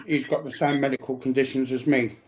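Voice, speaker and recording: A man's voice: medium pitch, flat delivery, a little fast, a little mellow, rough recording. A man talking in a casual, rambling, colloquial way, often repeating himself. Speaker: speaker sharing personal life news.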